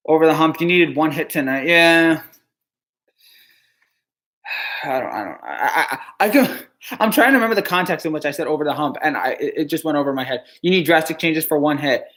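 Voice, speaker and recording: A man from New Jersey on a live stream, answering chat questions in a halting, dejected way, with frequent stammered restarts and sighs. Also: on the high side, lively intonation, fairly bright tone.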